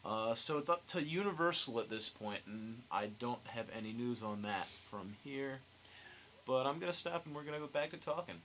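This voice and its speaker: Man, young adult, bright forward voice, casual podcast chat, wry, faint noise. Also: mid-range voice, lively intonation, normal speed, low-quality recording. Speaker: podcast host.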